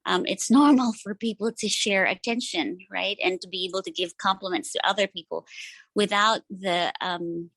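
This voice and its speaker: A woman in her forties from the Philippines, sharing personally at a support meeting, pausing often with many ums. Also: mid-range voice, steady tone, fairly bright tone, dry recording, low-quality recording. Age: 40s. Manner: hesitant, many ums and pauses.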